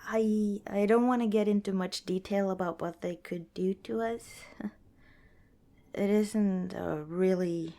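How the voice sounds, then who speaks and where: Slow-talking friendly young wanderer girl played by a woman. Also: medium pitch, steady tone, close to an everyday voice. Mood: gently wary. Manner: hesitant, chuckling, gentle conversational rambling. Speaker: ASMR roleplay performer. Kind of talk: ASMR roleplay, casual one-sided dialogue.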